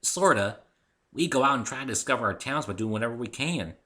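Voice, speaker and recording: A man acts out a young country filly from a pony story. The voice is lifted and bright, sweet and earnest, with a hesitant, folksy way of talking. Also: mid-range voice, animated, fairly quick, voice pushed up. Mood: downhearted, earnest.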